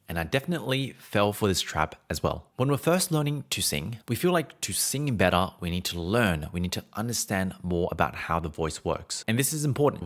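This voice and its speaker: A man's voice: deep voice, a bit bright. A young man teaching singing to camera, explaining in an earnest way with frequent fillers.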